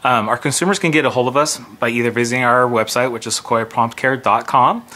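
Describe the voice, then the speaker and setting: A man's voice: mid-range voice, a little fast, bright, forceful tone. An American man in his forties presenting a clinic as its nurse manager in a promotional interview. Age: 40s. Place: clinic reception area indoors.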